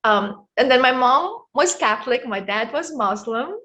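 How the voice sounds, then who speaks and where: A middle-aged woman from Egypt with an Egyptian accent, speaking as an interview guest in a reflective, halting way with many fillers. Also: high voice, expressive, sharp tone. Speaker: guest, wellness coach. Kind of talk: casual interview talk.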